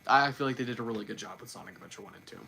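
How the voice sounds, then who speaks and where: Bright-voiced man chatting with constant restarts. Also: mid-range voice, creaky, a little hiss or hum. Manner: stumbles and repeats words, quick restarts. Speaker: livestream host. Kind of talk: casual livestream chat.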